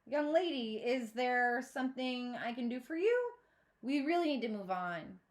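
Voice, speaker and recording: A woman voicing a brisk, impatient female mayor in a storybook, formal and projecting like an official. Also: fairly high pitch, sing-song.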